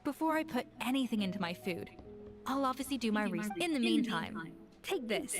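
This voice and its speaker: A woman plays a refined young chef who talks in a polished, measured way, her voice sitting slightly high. She explains things precisely and calmly. Game music plays clearly behind her. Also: close to an everyday voice. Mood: composed. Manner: polished, measured and matter-of-fact.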